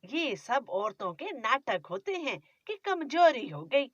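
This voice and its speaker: A woman's voice: high-pitched, big pitch swings, takes it slowly, no room sound, lo-fi sound, full character voice. A woman voicing a stingy, nagging older mother-in-law who scolds about money. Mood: scolding. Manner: scolding, penny-pinching complaints.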